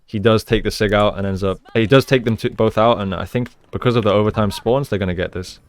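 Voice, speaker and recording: A man with a fairly low, clean and smooth voice breaks down video game play as a coach. He explains things in a measured way, often repeating a phrase to stress a point. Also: steady tone. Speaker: esports coach, video host. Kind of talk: gameplay analysis commentary.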